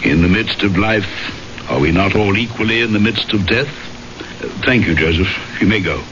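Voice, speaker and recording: Casting: man to play courteous, doom-laden aristocrat for radio drama, low register, muffled hissy broadcast sound. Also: animated, lightly acted. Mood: resigned. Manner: formal, measured, ominous. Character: grave, courteous, fatalistic titled gentleman. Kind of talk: 1970s radio drama acting.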